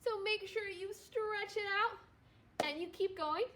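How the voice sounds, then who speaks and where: High-voiced woman teacher reading aloud. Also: unhurried, pushed and bright. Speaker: second grade teacher. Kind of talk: children's storybook read-aloud.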